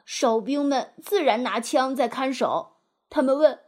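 A woman voicing a gleeful, boastful male mole from a storybook in an animated, self-satisfied way. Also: high voice, measured pace. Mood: proud, excited.

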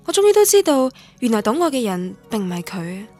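A lonely young student narrates her memories in Cantonese. Her voice sits fairly high and she speaks in a reflective, literary radio drama manner. Music plays behind her. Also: very animated, a little fast, darkish tone. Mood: wistful, reflective. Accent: Cantonese from Guangdong. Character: wistful young woman student recalling lost love, lonely.

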